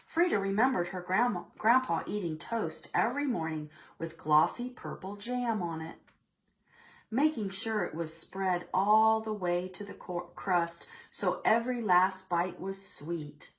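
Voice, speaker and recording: A woman's voice: mid-range voice, a little slow. A woman reads a children's story aloud in a mid-range voice. She tells it expressively, as a librarian would at a preschool storytime, and breaks off to put questions to the young listeners.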